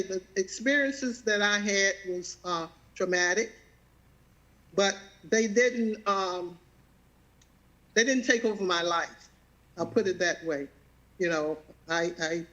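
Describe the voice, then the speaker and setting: A woman in her seventies with a Southern American accent, sharing a personal testimony in a halting way, often repeating words and laughing easily. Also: mid-range voice, fairly bright tone, lo-fi sound. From Southern United States. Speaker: guest.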